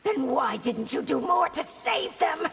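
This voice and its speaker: An actress playing a stern, commanding young warrior empress in a video game, imperious and curt. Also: female voice, sits high, big pitch swings, measured pace, creaky, fairly bright tone, soft background music, full character voice. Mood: accusing.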